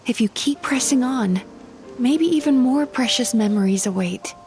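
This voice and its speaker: An actress playing a gentle young psychologist who tastes dreams, speaking softly and earnestly in a video game. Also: female voice, fairly high pitch, lively intonation, moderate pace, faint music behind, natural voice. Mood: tender. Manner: soft, reflective, earnest. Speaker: game character.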